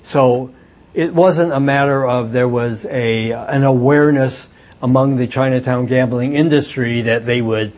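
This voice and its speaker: An older man talks at a mid pitch, telling stories from his own past with long pauses and plenty of ums. He reflects as he goes and often stops to restart a thought. It is a book talk with questions from the audience. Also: fairly even intonation, darkish tone. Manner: reflective, hesitant storytelling with many fillers. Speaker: author and former reporter.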